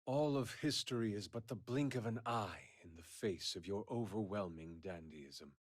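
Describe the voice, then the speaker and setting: A man's voice: on the low side, normal speed, breathy edge, no echo. A man voicing an earnest, intensely devoted assassin disciple in a video game, formal and solemn. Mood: earnest, determined. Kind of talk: video game character acting. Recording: studio voice under loud guitar music.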